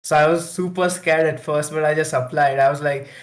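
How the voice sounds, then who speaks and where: A man's voice: fairly high pitch, fairly even intonation, brisk. A young man, a program participant, telling eagerly how he joined, over a video call. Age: young adult. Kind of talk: casual thank-you speech.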